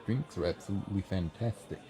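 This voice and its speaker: A man's voice: sits low, brisk, music in the background, natural voice, low-quality recording. A man playing a shy, friendly young writer in an ASMR roleplay, chatting bashfully and earnestly, a little hesitant. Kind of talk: ASMR roleplay, soft conversational acting. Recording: busy café crowd murmur behind. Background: café crowd chatter.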